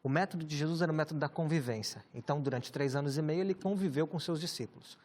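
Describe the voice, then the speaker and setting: Brazilian pastor explaining scripture calmly. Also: male voice, on the high side. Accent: Brazilian Portuguese. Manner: measured, explanatory, with many rhetorical tags. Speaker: pastor and guest teacher. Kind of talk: explanatory Bible teaching in conversation.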